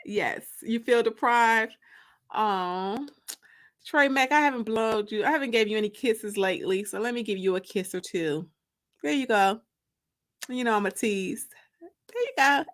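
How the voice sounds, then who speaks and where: A woman's voice: a little high, animated, a little slow, a bit bright. An American woman hosting a casual livestream, chatting with viewers in a playful, teasing, colloquial way. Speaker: livestream host and author.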